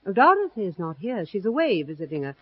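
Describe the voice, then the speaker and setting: Proud old matriarch, radio horror play. Husky, evasive, deliberate, very animated pitch, muffled old broadcast. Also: male voice, no room sound, exaggerated voice. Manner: haughty, formal, deliberate. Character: proud, evasive old grandmother of an isolated manor family. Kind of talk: 1970s radio horror drama acting. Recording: dull lo-fi 1970s radio recording.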